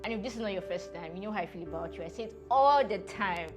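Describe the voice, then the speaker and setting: A woman's voice: mid-range voice, animated, fast, music in the background. A woman hosting her own interview channel, bubbly and enthusiastic. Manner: bubbly, enthusiastic, laughs often. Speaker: YouTube interviewer, channel host.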